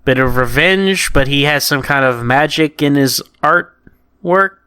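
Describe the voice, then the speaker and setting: A man's voice: a little high, a little slow, pushed and bright, smooth, dry recording, clean studio sound. A man co-hosting a podcast, giving casual opinions emphatically and repeating phrases as he builds a point. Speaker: podcast co-host. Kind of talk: casual comic review discussion.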